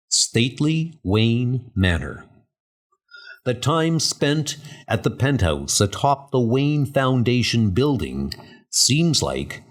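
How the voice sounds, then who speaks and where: A man's voice: fairly low pitch, husky, exaggerated voice. A man voicing a devoted, dignified older butler in a comic book audio drama, formal and genteel in manner.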